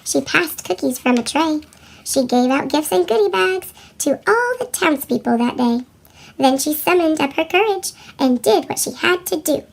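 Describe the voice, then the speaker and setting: A woman reading a children's picture book as storyteller, with a squeaky chipmunk voice effect. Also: expressive, normal speed, vocal fry. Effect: sped-up chipmunk pitch shift. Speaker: read-aloud storyteller for children.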